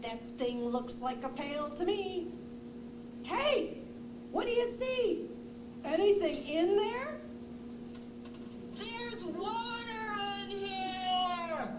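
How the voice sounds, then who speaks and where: A woman voicing a grumpy, bossy male raccoon puppet in a children's show, grumbling with drawn-out emphasis. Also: very high-pitched, lively intonation, exaggerated voice. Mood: alarmed. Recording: roomy, echoing, noisy live puppet show.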